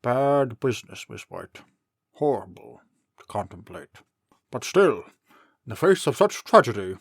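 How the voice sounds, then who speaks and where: A man's voice: mid-range voice, breathy edge, no room sound, clean studio sound. A man playing a fussy, blustering old king turned mayor in a comic dub, pompous and cajoling like a politician. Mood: solemn, fretting.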